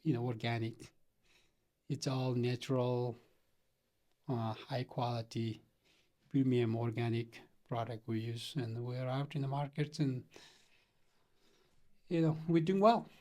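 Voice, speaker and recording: A man's voice: medium pitch, fairly even intonation, a little slow, pauses often, warm tone. A middle-aged man from Nepal, earnestly telling his story as a guest in a casual interview, chuckling often. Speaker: company founder guest.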